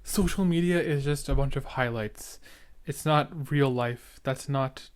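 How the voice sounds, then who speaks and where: A man's voice: mid-range voice, clear, resonant tone. A young man giving casual, earnest reaction commentary as a podcast host, stumbling and repeating words now and then. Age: young adult. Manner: halting, stuttering, repeats words.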